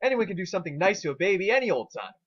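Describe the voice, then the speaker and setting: Man playing a manic, high-pitched irritable pony scholar. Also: big pitch swings, very fast, highs cut off. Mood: smug, scheming. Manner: ranting, gleefully obsessive. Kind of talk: amateur dramatic fan-fiction reading.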